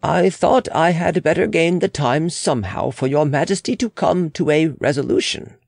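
A man's voice: fairly high pitch, normal speed, vocal fry, a little mellow, dry recording, studio quality, pitched above the performer's own voice. A man voicing a formal, deferential but insistent lawyer and court official in a dramatic audiobook. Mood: defensive. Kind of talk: dramatic audiobook character reading.

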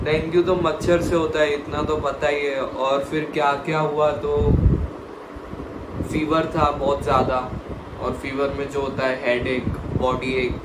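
A young man talks to the camera in a flat, even voice, telling his story in a relaxed way. His voice sits in an echoey hospital room with loud steady noise behind it. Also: medium pitch. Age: young adult. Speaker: vlogger. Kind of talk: casual vlog talk to camera.

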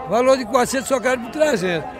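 Loud high-voiced market seller. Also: male voice, expressive, fast, over music. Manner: loud, boastful sales pitch. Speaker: livestock seller. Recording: market crowd, speaking off mic.

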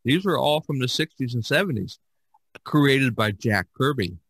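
An older man talks casually as a podcast host. His voice sits at an ordinary pitch in a dry recording. He rambles through anecdotes, repeating phrases as he goes. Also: expressive, moderate pace, a bit bright, breathy edge, dry recording.

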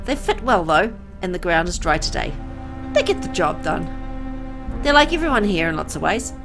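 A woman playing a weary, soft-hearted young woman who narrates her bleak town in a visual novel, reflective and conversational. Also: on the low side, big pitch swings, normal speed, creaky. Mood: somber. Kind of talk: scripted first-person visual novel narration. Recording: music under the voice. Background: background music.

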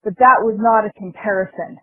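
A thin phone-line recording carries a woman's personal voicemail. Her voice is husky and mid-pitched, talking informally to one listener. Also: fairly quick.

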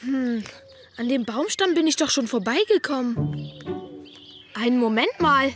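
A woman's voice: sits high, animated, measured pace, over music. A woman voicing an earnest, gullible young boy in a children's cartoon dub, naive and hesitant. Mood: puzzled.

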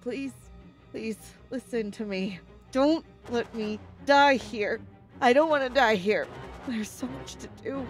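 A woman's voice: a little high, slow pace, faint music behind. An actress playing a fierce, foul-mouthed, hopeful woman pilot in an apocalyptic sci-fi audio drama. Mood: desperate, pleading. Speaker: voice actor.

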